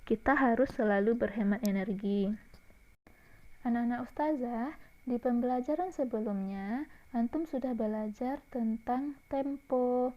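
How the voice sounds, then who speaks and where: A woman teacher with an Indonesian accent gives a recorded lesson and speaks gently and directly to her young pupils. Her voice sits a little high and comes through a dull, lo-fi recording. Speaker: primary school teacher.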